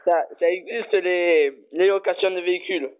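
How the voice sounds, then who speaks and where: Man, prank caller, stubborn and halting, high voice, tinny phone sound. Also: steady tone. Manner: stubborn, halting, absurd demands. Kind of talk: phone prank, playing a pushy customer.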